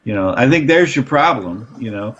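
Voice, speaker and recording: A man's voice: mid-range voice, expressive, normal speed, fairly bright tone, a little hiss or hum. Rambling middle-aged man on a dull mic. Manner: rambling, restarts sentences, chuckles. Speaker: podcast co-host. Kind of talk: casual political chat. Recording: slightly dull lo-fi recording.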